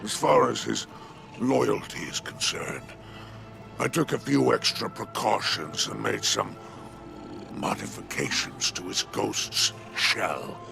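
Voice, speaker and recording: A man voicing a smug, menacing alien crime boss in a video game, with a gravelly, theatrical, mockingly genteel delivery. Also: fairly low pitch, expressive, slow, over music, heavily acted. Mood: quietly threatening. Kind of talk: video game cutscene acting.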